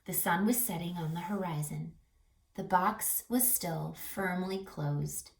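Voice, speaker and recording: A woman reading a picture book aloud for children, animated, pausing to ask questions and dropping to hushed tones. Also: on the low side, creaky. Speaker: read-aloud storyteller for children. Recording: roomy echo, distant mic, music behind.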